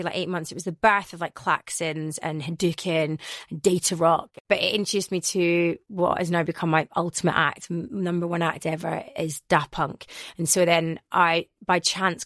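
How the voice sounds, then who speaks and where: Scottish woman, 30s, radio DJ guest; animated, rambly storyteller; low-set voice, dry close studio sound. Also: dry recording. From Glasgow, Scotland. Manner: chatty, animated, rambling anecdotes with self-corrections. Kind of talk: casual interview storytelling.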